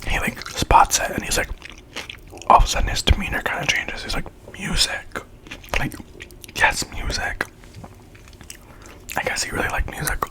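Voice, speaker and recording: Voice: normal speed, long pauses, poor audio. A speaker whispering a slangy, chatty storytime in ASMR style while eating, acting out quoted lines along the way.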